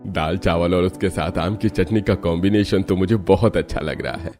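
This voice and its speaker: A man voicing a calm, reassuring middle-aged father-in-law in a Hindi family cartoon. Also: low voice, moderate pace, soft background music, lightly acted. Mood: pleased.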